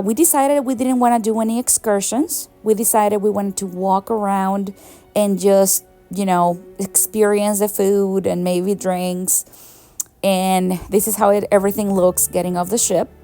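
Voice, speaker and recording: A woman's voice: medium pitch, vocal fry, a little mellow. A young woman from Venezuela narrating her travel vlog in a chatty, enthusiastic way, laughing often. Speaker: travel vlogger. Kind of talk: casual travel vlog voice-over.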